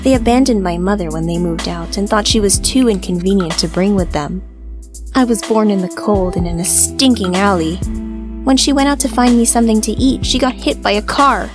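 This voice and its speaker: A woman's voice: mid-range voice, a little fast, music in the background, close to an everyday voice. A woman voicing a proud, independent, bitter female cat in a visual novel, curt and haughty. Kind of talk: character acting in a visual novel.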